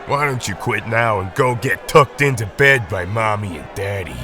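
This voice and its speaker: A man's voice: lowish voice, animated, moderate pace. A man playing a menacing, mocking older cage fighter in an audio drama. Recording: loud music and roaring crowd behind.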